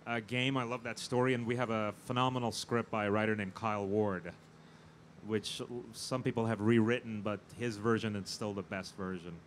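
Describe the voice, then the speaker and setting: A man's voice: on the low side, little pitch movement. Guy being interviewed on a con panel, stammers and restarts a lot, bit of background noise. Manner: halting, often stammers and repeats words. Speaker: film producer and panel guest. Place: fan convention event. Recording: noisy live event with background ambience.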